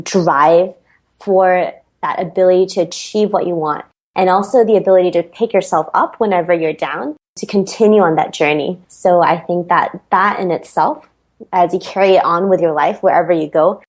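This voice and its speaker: A woman with an American accent answers interview questions as a performance coach. She is reflective and measured and often pauses on an um, and her voice sits slightly low. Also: a little mellow. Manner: reflective, measured, frequent ums.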